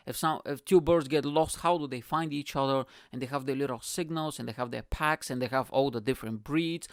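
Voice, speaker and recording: A man's voice: mid-range voice, no room sound, clean studio sound. A young man from Bulgaria, hosting his own show in a profane, rambling comedic monologue that keeps checking in with the listener. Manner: profane, rambling, keeps asking "you know what I'm saying". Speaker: podcast host.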